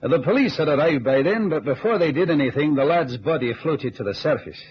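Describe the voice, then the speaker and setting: A man's voice: fairly high pitch, expressive, fairly quick, husky. An actor playing an earnest Scottish ex-navy businessman in an old-time radio drama, on a muffled recording with hiss. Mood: measured, earnest. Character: earnest Scottish ex-navy businessman recounting deadly lake dives.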